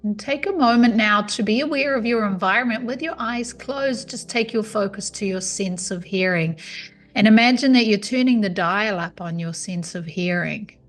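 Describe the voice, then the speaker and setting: A woman guides a meditation in a soothing, unhurried manner. Her voice sits at a medium pitch and she gives each instruction calmly. Soft music plays faintly behind her. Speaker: yoga nidra meditation guide.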